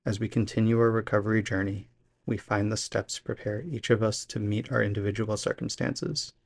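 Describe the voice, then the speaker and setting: Low, gravelly man reading aloud evenly. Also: darkish tone, dry recording. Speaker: podcast reader. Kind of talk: read-aloud daily meditation.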